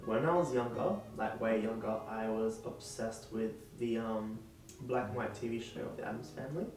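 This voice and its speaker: A nineteen-year-old man, a drag performer, telling his story in an interview, pausing often with ums. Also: lowish voice, darkish tone. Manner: hesitant, many ums. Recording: distant echoey mic with music. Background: guitar music.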